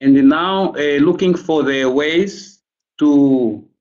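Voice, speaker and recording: A man whose first language is Portuguese, speaking English with an accent in a halting way, searching for words on a video call. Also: on the high side, a little mellow. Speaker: program staff presenter. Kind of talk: remote webinar contribution. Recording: dull lo-fi call audio.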